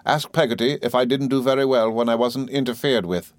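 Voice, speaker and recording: Man voicing a timid, tearful young wife, raised pitch. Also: steady tone, fairly quick, no echo, natural voice. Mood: faltering, frightened. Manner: faltering, pleading, repeating herself.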